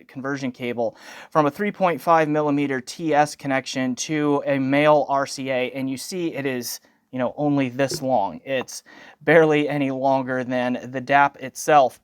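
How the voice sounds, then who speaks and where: A man's voice: fairly high pitch, smooth, no room sound, clean studio sound. A man giving an informal product review as a channel host, conversational and wordy with technical asides.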